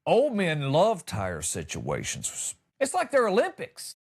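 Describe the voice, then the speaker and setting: A man's voice: high voice, wildly swooping pitch, slow pace. A middle-aged American man hosting a comedy podcast, riffing in a wry, exaggerating monologue and acting out lines. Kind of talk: humorous monologue on news stories.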